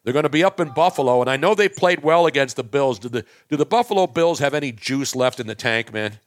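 A man's voice: mid-range voice, expressive, fast talker. An older man hosting a late-night talk show, rambling and joking loosely, often losing his words mid-sentence.

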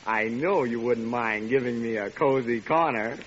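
An actor playing a breezy, falsely chummy, pushy pest in 1940s radio comedy, with a chirpy, ingratiating manner. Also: male voice, medium pitch, moderate pace, close to an everyday voice. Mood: smugly ingratiating. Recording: dull, faintly noisy old radio recording.

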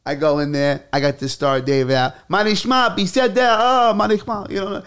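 A man's voice: high voice, clean tone. A young man, a comedian, telling stories in casual podcast chat and slipping into put-on accents. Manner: animated, rapid switches into put-on accents. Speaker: comedian guest.

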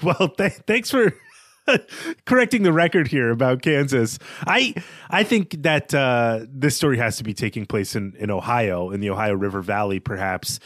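A man with a mid-pitched voice talks as a podcast co-host in a casual literary discussion. He is analytical and conversational, and the recording is dry, with hardly any room sound. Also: lively intonation, moderate pace, fairly bright tone, no echo.

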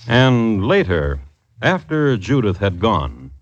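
A man narrating a scripted old-time radio drama in a wry, measured way, on a dated recording. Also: fairly low pitch, sing-song, slight background noise. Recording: dull vintage radio recording with music.

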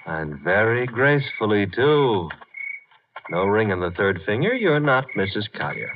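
A man's voice: lowish voice, very animated, a little slow. An actor playing a glib, flirtatious detective's legman with wry, bantering delivery in 1950s radio drama style. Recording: dull, noisy 1950s radio recording.

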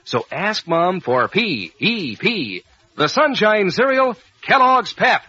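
A man's voice: very animated, slow pace, loud music behind the voice. An American man announcing in a folksy, enthusiastic 1940s radio advertising style. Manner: folksy, enthusiastic sales pitch. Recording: muffled old radio recording.

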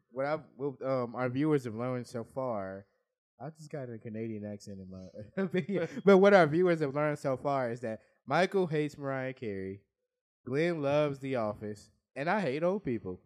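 A man co-hosting a podcast in loose, joking chat. His voice sits at a middle pitch. He laughs often and stammers as he restarts his sentences. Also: expressive, normal speed, a little mellow. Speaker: podcast co-host. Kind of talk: casual chat.

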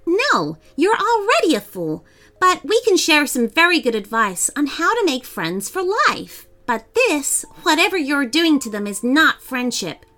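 A woman's voice: high-pitched, sing-song, a little slow, bright, forceful tone, pitched above the performer's own voice, heavily acted. A woman voicing a brave, kind, earnest young twin girl in a children's storybook. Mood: bold, scolding.

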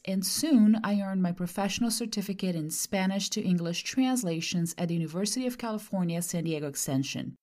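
A woman in her forties from Brazil, a native Portuguese speaker, narrating her podcast in a measured, reflective way. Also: mid-range voice, a little mellow. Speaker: podcast host.

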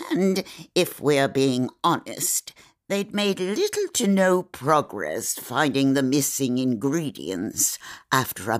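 A woman's voice: a bass voice, heavily acted. An actress playing a grumpy, sardonic old lady who tells a theatrical story in a comedy audio drama.

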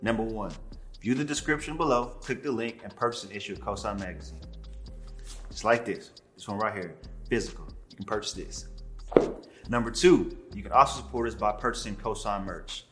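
A man greeting viewers and pitching ways to support a magazine, speaking straight to the camera. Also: brisk, music in the background. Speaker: magazine promo host. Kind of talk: scripted promo address.

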